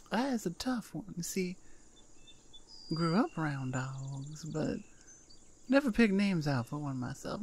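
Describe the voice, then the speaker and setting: Lady doing a sweet southern cow-girl farmer, low and real slow, soft drawl. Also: exaggerated voice. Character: gentle southern cowgirl sheep farmer, cow-woman. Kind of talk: ASMR roleplay.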